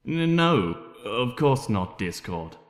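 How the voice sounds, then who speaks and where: A man's voice: mid-range voice, big pitch swings, slow pace. A man voicing a nasty, scheming male centaur villain in an amateur story reading. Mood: nervous, evasive. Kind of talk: amateur fan fiction audiobook character voice. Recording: echoey room with long reverb.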